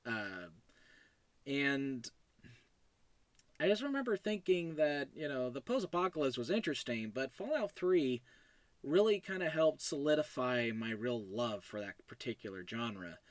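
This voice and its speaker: A man's voice: mid-range voice, very animated, unhurried, dry recording. A young man talking casually as a solo podcast host, in an informal, rambling way and often correcting himself. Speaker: podcast host and show creator.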